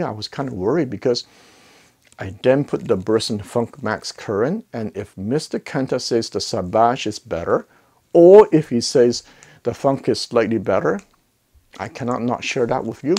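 Middle aged guy doing a casual review vid, chatty, stresses his words, normal pitched voice, lapel mic. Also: animated, normal speed, a little mellow, breathy edge. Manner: conversational, emphatic, repeats words for emphasis. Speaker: host of an audio gear review channel. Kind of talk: casual product review to camera. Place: living room listening space with acoustic wall panels. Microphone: clip-on lapel mic.

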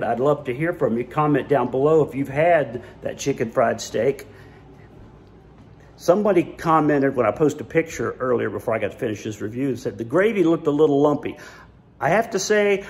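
A middle-aged man talking to his phone camera held at arm's length. His pitch sits in the middle of the male range, and he talks with chatty enthusiasm. He stumbles and restarts as he goes. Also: vocal fry, darkish tone. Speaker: food vlogger. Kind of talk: casual food review vlog. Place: diner, then outdoors by a road, then home kitchen.

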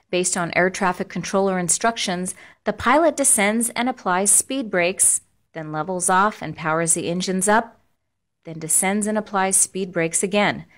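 A woman with an American accent reading scripted instructional narration in a measured, formal, explanatory way. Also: mid-range voice.

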